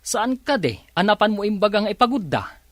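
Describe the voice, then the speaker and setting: A man's voice: high-pitched, very animated, fairly quick, a bit bright, dry recording, studio quality. A Filipino man acting in a radio drama as a stubborn, hot-headed farmer husband who grumbles and argues. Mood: stubborn, grumbling. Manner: grumbling, argumentative.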